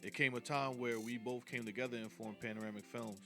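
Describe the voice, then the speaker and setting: A man's voice: medium pitch, a little fast, faint music behind. Easygoing Jamaican-born man, guest. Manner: easygoing, relaxed, says "you know what I'm saying" often. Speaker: guest rapper and videographer. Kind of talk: casual interview.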